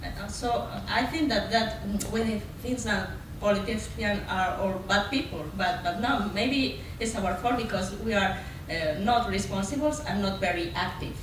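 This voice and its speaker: Halting woman in a very echoey room. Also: mid-range voice. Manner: halting, searching for words with repeated phrases. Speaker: audience participant. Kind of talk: spontaneous discussion comment. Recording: very echoey room, distant noisy pickup.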